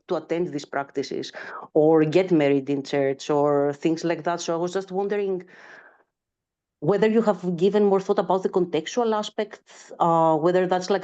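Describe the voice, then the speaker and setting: A woman's voice: lowish voice, mellow, lo-fi sound. A woman from Greece, hesitantly putting a question to a webinar speaker over a video call. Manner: hesitant, searching for words. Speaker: webinar audience member asking a question.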